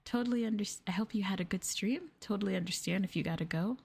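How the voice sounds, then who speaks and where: Soft-spoken woman, noisy ASMR stream. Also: mid-range voice, quick pace, gravelly. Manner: soft, gentle, often dropping into whispers. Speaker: VTuber streamer.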